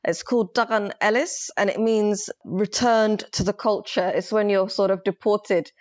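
A woman from Somalia speaking thoughtfully in a casual book conversation, pausing and restarting as she reflects. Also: medium pitch, fairly even intonation, a little mellow. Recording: slightly dull lo-fi sound.

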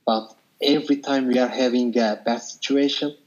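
A man's voice: medium pitch, steady tone, normal speed, darkish tone. Turkish-accented young man on a muffled call. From Turkey. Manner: hesitant, with frequent uh and light laughs. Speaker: interview guest. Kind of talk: casual video-call chat.

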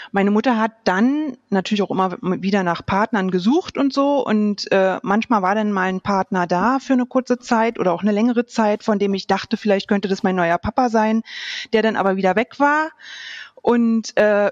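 A woman's voice: mid-range voice, a little mellow, no room sound, highs cut off. A woman of thirty-nine from Berlin, giving casual personal answers as an interview guest, hesitantly searching for words. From Berlin, Germany. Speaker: podcast guest, youth welfare coordinator.

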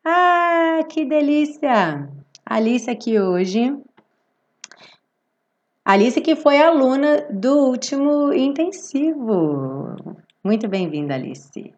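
Hoarse Brazilian woman, chatty online teacher. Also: high-pitched, slow pace, lo-fi sound. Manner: expressive, chatty, affectionate with diminutives. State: hoarse with a cold and cough. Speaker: English teacher hosting a live online music-based class. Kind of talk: informal livestream chat with viewers.

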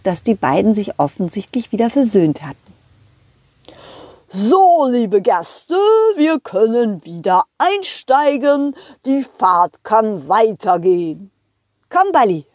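A woman's voice: on the high side, darkish tone, dry recording, clean studio sound. A woman puppeteer telling a children's story in character, with childlike enthusiasm, speaking straight to the children. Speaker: puppeteer storyteller voicing a dog hero.

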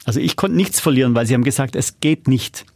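A man's voice: mid-range voice, animated, fast talker, a little mellow, no room sound, studio quality. A middle-aged man talking casually and anecdotally as an interview guest, often checking in with little tag questions. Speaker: interview guest, audio drama producer.

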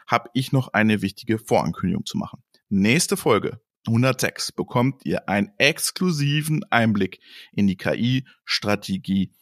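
Low-voiced man reading a podcast intro. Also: a bit bright, no room sound, clean studio sound. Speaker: podcast host. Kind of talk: scripted intro announcement.

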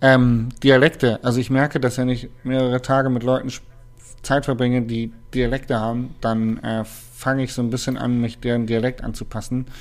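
A man's voice: medium pitch, little pitch movement. Hesitant man from Berlin, casual chat. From Berlin, Germany. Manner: hesitant, many filler pauses. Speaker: podcast co-host.

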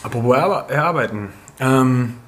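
A man's voice: medium pitch, expressive, normal speed, slight background noise. A man chatting casually as a podcast co-host, dropping English slang into his talk. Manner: peppers speech with English slang. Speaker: podcast co-host, calisthenics coach.

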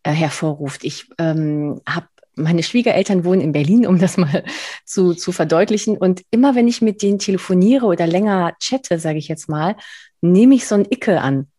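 A chatty woman from Spain hosts the conversation, her voice a little low and somewhat husky. She talks close to the mic in a dry, dead room and laughs easily between thoughts. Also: moderate pace, dry recording. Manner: chatty, laughs often, warm and effusive. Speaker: podcast host. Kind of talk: casual interview chat.

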